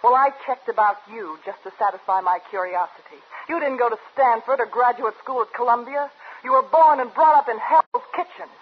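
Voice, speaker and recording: An actress in a 1950s radio drama playing a bitter assistant who turns on the boss, speaking in an accusing, cutting, emphatic way. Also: female voice, a little high, fairly quick, natural voice. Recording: dull, noisy old radio recording.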